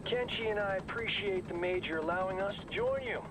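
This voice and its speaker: Cocky game action hero male actor, flippant wisecracks, fairly high voice, heard over clear vehicle and gunfire noise. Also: fairly quick, lightly acted. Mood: cocky, sarcastic. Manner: sarcastic, flippant. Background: vehicle rumble, gunfire.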